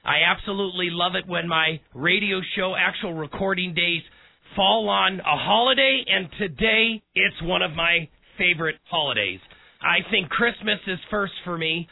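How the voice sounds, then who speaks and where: A man hosting a radio talk show, hoarse and raspy today, speaking in a folksy way straight to his listeners. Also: sits high, moderate pace, no echo. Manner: folksy, direct address to listeners. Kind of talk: casual one-on-one radio talk. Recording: tinny phone-like sound.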